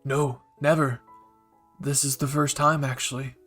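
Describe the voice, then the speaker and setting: A man's voice: medium pitch, flat delivery, measured pace, fairly bright tone, faint music behind. A man voicing an earnest, intense young male soldier who answers eagerly and respectfully. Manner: eager and respectful, keeps saying sir.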